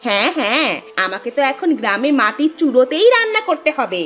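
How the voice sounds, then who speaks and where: Woman acting a high-voiced cartoon village wife. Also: very animated, brisk, a bit bright, exaggerated voice. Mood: annoyed, complaining. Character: village wives, grumbling housewife and poor humble stove seller. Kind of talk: Bengali fairy-tale cartoon voice acting. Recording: music under the voice.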